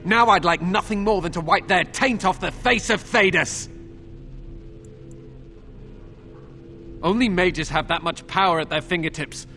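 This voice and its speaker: A man's voice: high voice, moderate pace, bright, forceful tone. A man playing a tortured, bitter and fearful young templar captive in a video game, speaking with anguished intensity. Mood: bitter hatred. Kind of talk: dramatic video game voice acting. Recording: music under the voice.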